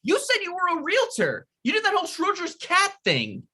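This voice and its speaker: A man's voice: very high voice, wildly swooping pitch, brisk, pushed and bright, full character voice. A man reading a needy, self-deprecating young screenwriter in a comedic table read, with eager, pleading comic delivery. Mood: accusing.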